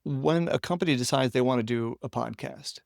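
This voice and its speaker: Gravelly-voiced male podcast host. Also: mid-range voice, a little fast, no echo, clean studio sound. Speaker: podcast host interviewing a guest. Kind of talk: casual conversational interviewing.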